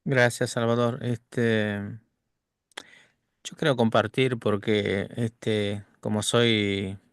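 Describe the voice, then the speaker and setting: A man whose first language is Quechua, sharing his Bible reading habits in a gentle, halting way. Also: fairly low pitch, little pitch movement, clear, resonant tone, dry recording, clean studio sound. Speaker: podcast guest. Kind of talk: personal testimony.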